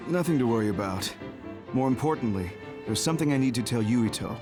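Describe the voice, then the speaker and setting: An actor voicing a composed, dutiful major general in an anime game, speaking in a measured, understated way. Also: male voice, medium pitch, expressive, music in the background, natural voice. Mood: serious. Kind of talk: English anime game voice acting.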